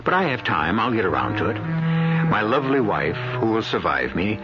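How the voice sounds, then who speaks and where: An actor playing an urbane, middle-aged host of radio mystery tales, speaking in a measured, wry, theatrical manner. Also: male voice, on the low side, very animated. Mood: wry, musing. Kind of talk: 1970s radio drama narration. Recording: muffled old radio broadcast, loud music behind.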